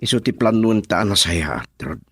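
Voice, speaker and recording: Obsessive young suitor for radio drama, male actor, lowish voice, highly expressive swings, jealous and lovesick. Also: a little slow, slightly rough, no room sound, studio quality, full character voice. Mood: jealous, bitter. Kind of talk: Ilocano radio drama acting.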